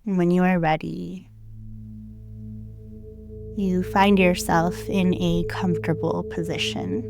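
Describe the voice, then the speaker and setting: A woman leading a guided meditation in a gentle, soothing, unhurried way, leaving long pauses between short phrases. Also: on the low side, steady tone, creaky. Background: loud meditation music with singing bowls.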